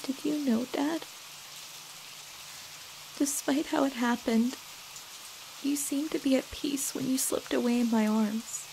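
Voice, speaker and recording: A woman playing a stoic but soft-hearted young mercenary, a devoted daughter, in a dubbed comic drama. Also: high voice, steady tone, takes it slowly, gravelly, long pauses, slight background noise, heavily acted, low-quality recording. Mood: solemn grieving. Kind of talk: comic dub voice acting. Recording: music bed under the voice.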